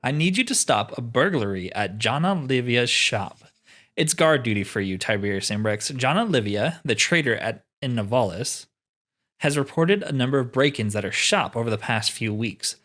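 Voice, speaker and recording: A man's voice: mid-range voice, animated, normal speed, a bit bright. A man voicing an earnest, formal guild fighter from a fantasy role-playing game, speaking in declarations. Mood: official, approving.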